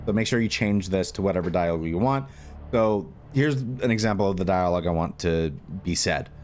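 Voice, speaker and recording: A man explaining steps in a casual coding tutorial, haltingly thinking aloud as he goes. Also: sits low, darkish tone, faint music behind. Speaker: tutorial host.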